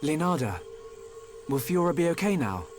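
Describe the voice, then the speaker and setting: A man's voice: on the high side, sing-song, moderate pace, darkish tone, soft background music. A young man voicing an earnest, polite young hero in a video game cutscene, speaking a little hesitantly. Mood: concerned.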